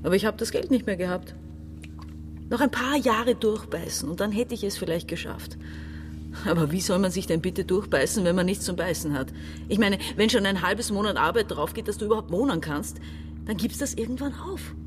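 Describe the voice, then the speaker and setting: A woman's voice: medium pitch, expressive, brisk, background noise, close to an everyday voice. An actress playing an embittered, domineering woman around forty with an Austrian accent, emphatic and reproachful in a radio drama. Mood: frustrated, bitter. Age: 40s. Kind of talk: German-language radio drama.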